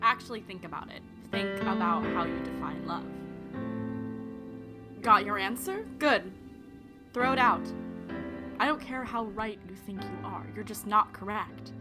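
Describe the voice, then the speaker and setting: An actress plays a young woman narrating an audio drama with strong opinions. She speaks straight to the listener, bluntly, in a mid-range voice. Music plays clearly behind her. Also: mid-range voice, expressive, unhurried, natural voice. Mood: blunt, challenging. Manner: blunt, addresses the listener directly. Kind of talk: dramatic first-person storytelling narration.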